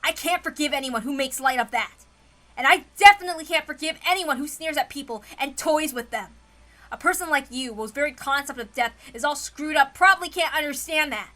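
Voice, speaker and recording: An indignant young man voiced by a woman argues with stubborn, righteous emphasis. The voice is high and forceful, in the dramatic manner of a visual novel confrontation. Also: a little fast. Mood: righteous anger.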